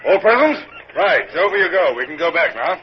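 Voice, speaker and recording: Naval captain, male, higher-than-average voice, self-possessed and commanding; old radio drama sound with clear hiss. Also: very animated, brisk. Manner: crisp, clipped orders. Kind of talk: 1950s radio drama acting. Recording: noisy muffled 1950s radio recording.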